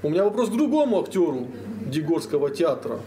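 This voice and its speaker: High, animated man in echoey room. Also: quick pace, crowd noise. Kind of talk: panel discussion.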